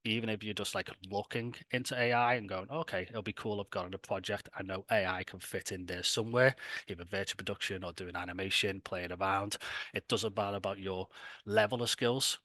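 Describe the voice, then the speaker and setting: Male Scouse event host, low-pitched yet bright and forceful, informal and chatty. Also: fairly even intonation, a little fast, no echo. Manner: chatty, enthusiastic, colloquial. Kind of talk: casual, informal event presentation.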